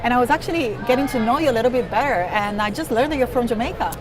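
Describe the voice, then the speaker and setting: A woman's voice: fairly high pitch, rapid-fire, poor audio. A woman hosting a live stream interview, enthusiastic and reading viewer comments aloud. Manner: enthusiastic, reads chat aloud. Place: busy outdoor conference pavilion. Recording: crowd chatter and noise behind.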